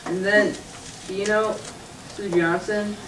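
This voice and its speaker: A woman's voice: mid-range voice, steady tone, takes it slowly, recorded from a distance, natural voice. A small, sulky boy puppet who whines and sniffles through his confession, as a woman puts him on. The voice is caught from afar, ringing around an echoey room with a faint hiss underneath. It has the plain sound of a church puppet show. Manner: whiny and sulky, cries in bursts.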